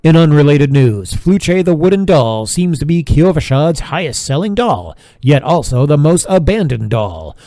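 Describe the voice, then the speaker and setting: A man's voice: fairly high pitch, very animated, moderate pace, few pauses, dark tone, no echo. A man playing a sensational radio news reporter, giving an emphatic, formal mock bulletin in an audio drama. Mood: urgent, emphatic.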